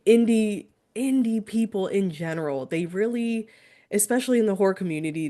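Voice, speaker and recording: A woman with a fairly low voice hosts a relaxed interview. She is upbeat and supportive and cheers her guest on. She laughs easily as she talks. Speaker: interview host. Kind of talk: casual interview conversation.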